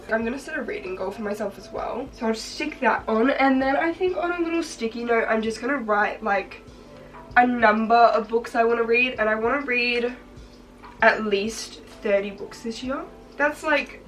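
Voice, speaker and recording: Chatty teenage girl with a hoarse voice. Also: a little high, distant mic, poor audio. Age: teens. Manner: chatty, upbeat, many "like" fillers. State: hoarse, congested voice. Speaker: vlogger. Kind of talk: casual chat while crafting. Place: bedroom, sitting on her bed.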